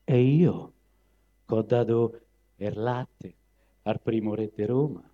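Guy doing a resentful proud lady wolf, lower complaining voice, roman accent, verse, slightly dull recording. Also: slow, dark tone. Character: resentful proud old she-wolf, royal wet nurse.

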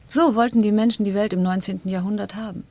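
A husky woman's voice with a creaky edge from an actress plays a dealer's observant partner. She tells the radio drama in a cool, measured, literary manner. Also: medium pitch, steady tone, warm tone, lightly acted. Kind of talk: literary first-person narration and dialogue in radio drama.

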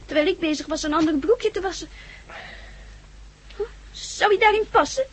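A very high-voiced, brave little girl. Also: moderate pace. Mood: matter-of-fact, sad. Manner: childlike, chattering, hesitant repetitions. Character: nine-year-old orphaned girl, brave but frightened. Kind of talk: 1960s Dutch radio drama acting. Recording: noisy old radio drama recording.

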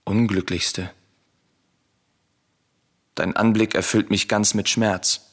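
Low-voiced, grave exiled Greek prince. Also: male voice, steady tone, takes it slowly. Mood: shaken pity. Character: secretive exiled prince of Greek tragedy, protective brother. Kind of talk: solemn radio drama acting of verse tragedy. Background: music.

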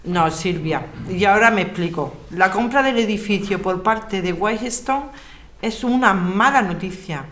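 Una actriz con acento castellano que interpreta a una abogada serena y comprometida, con habla pausada y didáctica, en una ficción sonora. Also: female voice, mid-range voice, fairly bright tone, slight background noise, natural voice. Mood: grave, apologetic. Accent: Castilian Spanish. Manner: measured, explanatory, articulate. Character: Alicia, composed, earnest lawyer rallying her neighbours. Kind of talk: scripted audio drama.

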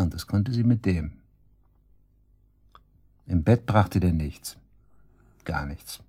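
Casting: cocky shady suspect, dark low husky voice, taunting and coarse, radio play. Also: male voice, heavily acted. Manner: taunting, cynical, coarse colloquial talk. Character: cocky, sneering male murder suspect, shady club worker. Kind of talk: German radio drama acting, interrogation dialogue.

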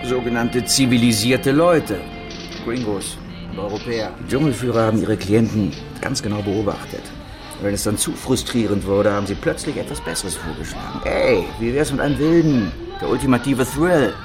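An actor playing a cynical informant in a radio drama, speaking tersely and matter-of-factly with a sardonic edge. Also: male voice, fairly low pitch, moderate pace, over music, natural voice. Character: cynical male informant on jungle crimes.

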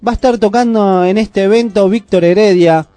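A man with an Argentine accent hosting a casual community radio show, chatty and full of "eh" pauses. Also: high-pitched, warm tone, dry recording. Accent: Argentine Spanish. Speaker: radio host. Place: radio studio.

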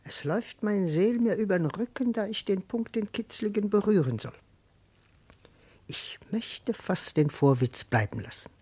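Ein Schauspieler spielt in einem klassischen Vershörspiel einen frechen, feigen Diener, der sich komisch und wortreich herauswindet. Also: male voice, high voice, animated, a little slow, darkish tone, husky, full character voice. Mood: sly, nervous. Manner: wheedling, blustering, comically theatrical. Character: cheeky, evasive male servant and husband, comic and cowardly. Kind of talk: classical German verse comedy radio acting.

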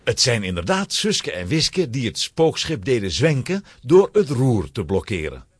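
A man's voice: medium pitch, huge pitch swings, measured pace, husky, dry recording. A man narrating a scripted children's adventure story in a dramatic style.

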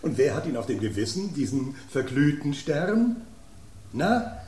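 A man's voice: fairly high pitch, sing-song, a little slow, darkish tone. An actor playing a gossipy male table spoon in a radio drama, teasing and theatrical as he spins rumors. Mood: dramatic. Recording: roomy studio echo, faint background.

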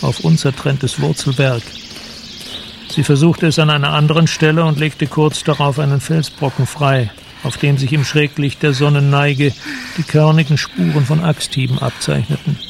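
Gravelly male radio drama narrator. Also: a little high, fairly even intonation, moderate pace, background noise.

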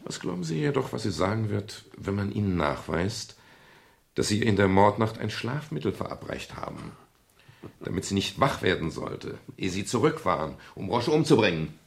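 An actor playing a tough, unflappable private detective, sardonic and mock-polite, in a 1970s radio crime drama. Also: male voice, fairly low pitch, sing-song, moderate pace, vocal fry. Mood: stern, accusing.

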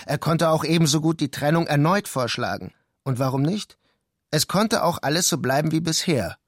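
A man reading scripted literary narration for a radio play in a measured, deliberate way. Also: on the high side, animated, dry recording, studio quality. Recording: prominent music under the voice. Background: music.

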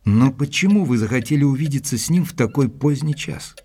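Gentleman investigator, mellow, slightly husky, measured, polite, formal, audio drama with music behind. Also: mid-range voice, animated. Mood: polite, probing. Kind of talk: dramatized audiobook narration and acting.

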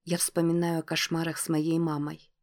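Low, very even female narrator. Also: moderate pace. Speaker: audiobook narrator. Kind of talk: first-person scripted fiction narration.

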